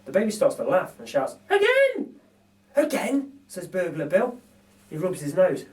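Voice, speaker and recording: Man reading a story to kids, really expressive up and down, dramatic pauses, recorded from a way off. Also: fairly high pitch. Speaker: storybook reader for children. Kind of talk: expressive children's story narration. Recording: distant microphone.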